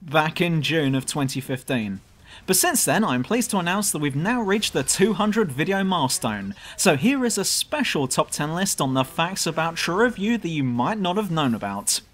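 A southern English man reads his scripted commentary in a dry, self-mocking way. His voice is on the higher side for a man, and his pitch jumps about a great deal. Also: very animated, normal speed, a bit bright, some chatter behind. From southern England. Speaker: YouTube gaming channel host. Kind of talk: scripted commentary over gameplay.

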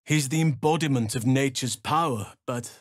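An actor voicing a wise, gentle and grave archdruid in a fantasy video game, with measured, formal and thoughtful phrasing. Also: male voice, mid-range voice, no room sound, studio quality, natural voice.

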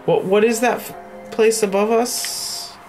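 A woman's voice: fairly high pitch, fairly even intonation, slow pace, a little mellow, faint music behind. A woman co-hosting a gaming show, bantering casually and sardonically as she watches the game. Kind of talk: casual banter over video game play.

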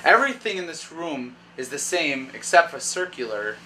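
A young man narrating as dungeon master at a kitchen table, reading room descriptions haltingly and thinking aloud. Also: a little high, sing-song, bright, forceful tone, slight background noise, low-quality recording. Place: dim home kitchen at a table. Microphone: far camera.

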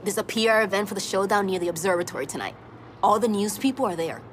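A woman's voice: medium pitch, quick pace, slight background noise, lightly acted. A woman playing a fiery, distrustful young street racer in a video game, blunt and streetwise in the way she talks. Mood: determined. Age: young adult. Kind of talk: video game cutscene acting. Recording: loud music over the voice.

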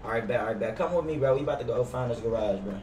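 A man's voice: medium pitch, racing, distant mic, a loud crowd. A young American man streaming a role-play game, bantering casually in slangy, sweary street talk on a gaming headset. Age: young adult. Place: indoors at a gaming desk. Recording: roomy headset audio with clear background noise. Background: game car and street ambience.

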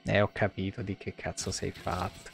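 Grumbling man streamer with an even pitch. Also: moderate pace, creaky, music in the background. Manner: grumbling, foul-mouthed. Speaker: video game streamer. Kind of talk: live gameplay commentary with casual chat. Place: indoor room at a streaming desk.

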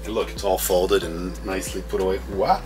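A man's voice: low voice, steady tone, a little fast, over music. A man chatting casually on camera, easygoing and chatty, calling people "man", on a windy clifftop and around town. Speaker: fellow sailor, friend of the host.

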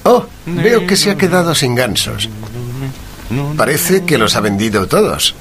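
An actor playing a shrewd, courteous and confident gentleman detective in a period mystery drama, articulate and composed. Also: on the high side, big pitch swings, a little slow. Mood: polite probing.

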